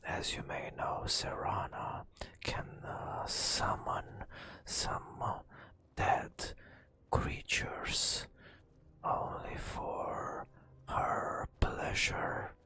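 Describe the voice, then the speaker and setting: A man narrating a fantasy game as hushed ASMR storytelling, in a breathy whisper with dramatic, storyteller phrasing and pauses.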